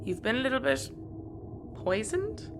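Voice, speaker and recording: A woman's voice: sits high, sing-song, unhurried, noisy background, exaggerated voice. A woman playing a sensible, harried spaceship captain, patient and matter-of-fact but exasperated, in an audio sitcom. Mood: patient, concerned.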